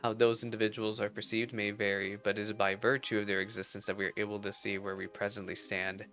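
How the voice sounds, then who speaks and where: A man's voice: on the low side, level tone, fairly bright tone, faint music behind. A man reading aloud the formal, poetic game lines of a courteous, philosophical wandering minstrel. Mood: reflective, welcoming. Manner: formal, measured, lyrical wording.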